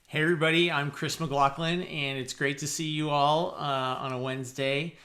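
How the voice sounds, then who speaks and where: A man who works as an HR consultant, giving a relaxed, somewhat halting networking pitch.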